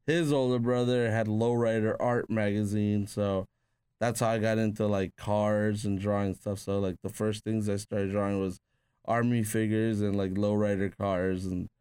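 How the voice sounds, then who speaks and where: Low, smooth-voiced Peruvian-born man telling stories. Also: level tone, normal speed, warm tone, no echo. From Peru. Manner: rambling, filler-heavy with frequent "like". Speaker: guest, tattoo artist. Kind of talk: casual conversational storytelling.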